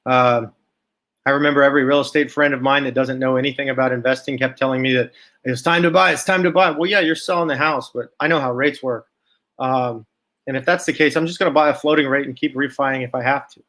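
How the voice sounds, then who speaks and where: Male finance vlogger, mid-pitched, blunt and skeptical, informal off-the-cuff delivery. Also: quick pace, pushed and bright. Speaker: stock market commentator, channel host. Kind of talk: informal solo commentary, thinking aloud from notes.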